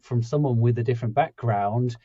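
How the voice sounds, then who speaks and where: Dark-voiced male host, dull dry audio. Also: medium pitch, fairly quick, slightly rough, dry recording, soft background music. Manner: rambling, full of restarts and fillers. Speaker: podcast host. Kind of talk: conversational interview.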